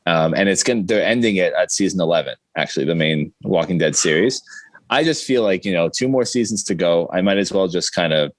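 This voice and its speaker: A man's voice: deep voice, a little fast, no room sound. A man talking in a casual podcast discussion.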